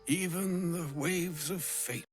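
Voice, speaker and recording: An actor playing a solemn, kindly old wizard sage in a fantasy game, speaking in grave, poetic, archaic phrases. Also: male voice, high-pitched, takes it slowly, slightly rough, soft background music, full character voice. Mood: poetic, fateful. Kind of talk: theatrical fantasy game acting.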